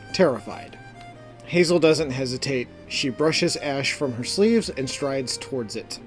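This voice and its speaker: A man narrating a scripted fantasy story in a measured, deliberate, dramatic manner. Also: a little high. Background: background music.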